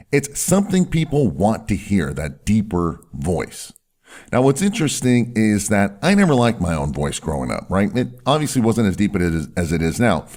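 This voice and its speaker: A man with a low voice that swings widely in pitch gives an instructional talk as a doctor hosting a health video. He leans on key words, slowing down and sinking his voice for effect. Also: darkish tone. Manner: emphatic, slows down and drops low on key words.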